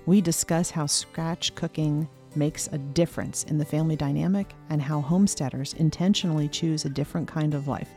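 A woman hosting a farming podcast, introducing the show and asking upbeat questions. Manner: bright upbeat questions, pitch rising. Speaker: podcast host and farmer.

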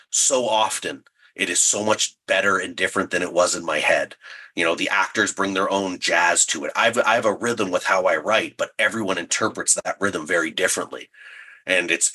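A man's voice: sits low, sharp tone, husky. A man talking as an interview guest in a thoughtful, earnest and reflective conversational way. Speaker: audio drama writer and editor, guest.

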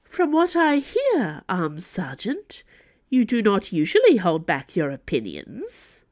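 A woman voicing a loyal young female pilot in an audiobook, nervous but defiant, halting and formally polite. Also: mid-range voice, wildly swooping pitch, darkish tone, dry recording, highs cut off, pitched above the performer's own voice. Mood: careful, defiant. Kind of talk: audiobook character voice.

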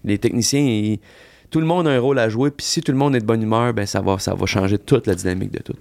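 A man with a Québécois French accent, a country singer talking as a podcast guest in a relaxed, slangy way full of fillers and the odd swear. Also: lowish voice, quick pace, creaky. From Quebec. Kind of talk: casual podcast chat.

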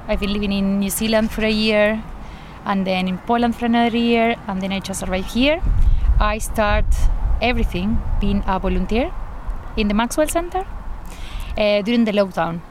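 A woman's voice: medium pitch, normal speed. Argentine woman garden coordinator, earnest, light laughs, faint outdoor noise. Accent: Argentine Spanish. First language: Spanish. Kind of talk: interview, personal account.

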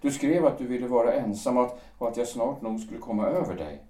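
A man's voice: medium pitch, animated, dark tone, natural voice. An actor playing a man who pleads with a former lover, faltering and restarting as he speaks, recorded at a distance in a roomy space. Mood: pleading. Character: aging male poet pleading over lost love. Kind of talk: audio drama acting.

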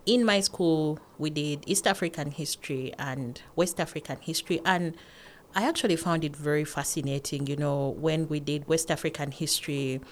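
A woman in her forties from northern Uganda, a guest answering interview questions in a calm, measured way. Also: sits low, slight background noise. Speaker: podcast guest, academic.

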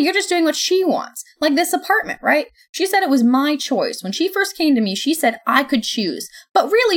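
A woman voicing a tall, brash young woman yelling in screechy, angry bursts. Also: medium pitch, voice pushed up.

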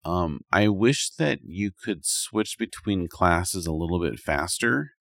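Male podcast co-host, deep voice, dry recording, conversational and informal gamer chat. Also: animated, slow pace, creaky, no room sound. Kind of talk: casual gaming chat.

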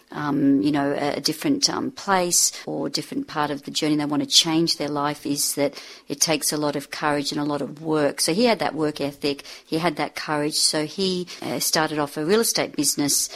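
A woman in her fifties talks as a guest in a reflective manner. Her voice sits low for a woman. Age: 50s. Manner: reflective, frequent fillers and restarts. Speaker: author and speaker, guest. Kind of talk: interview conversation.

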